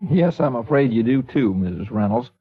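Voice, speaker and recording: An actor playing a calm, persuasive small-town newspaperman who talks in an easygoing, folksy drawl in a vintage radio drama. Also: medium pitch, normal speed, close to an everyday voice. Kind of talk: 1950s radio acting. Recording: dull lo-fi old radio broadcast.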